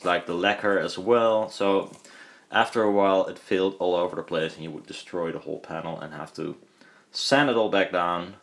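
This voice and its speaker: A man's voice: sits low, normal speed. A man giving a casual, unscripted demonstration, rambling a little and hesitantly thinking aloud as he goes. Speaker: hobbyist speaker builder and video maker.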